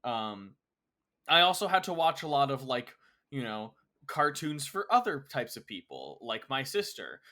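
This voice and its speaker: Lively, bright-voiced young man. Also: medium pitch, measured pace. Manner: dry, teasing, self-mocking. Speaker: podcast co-host. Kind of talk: casual podcast chat.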